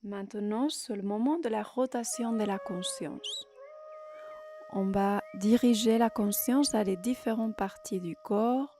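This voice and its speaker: A woman guiding a meditation as a yoga nidra teacher, in short, unhurried phrases with long pauses, giving soothing instructions. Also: mid-range voice, noisy background.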